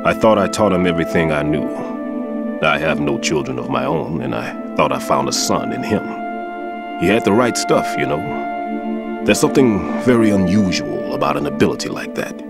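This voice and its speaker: An actor playing an older male bomb disposal expert, a patient mentor who explains things in a measured, instructive way in a game cutscene. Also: a bass voice, lively intonation, vocal fry, heavily acted. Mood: calm instructive. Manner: instructive, reflective. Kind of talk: video game cutscene dialogue. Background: music score.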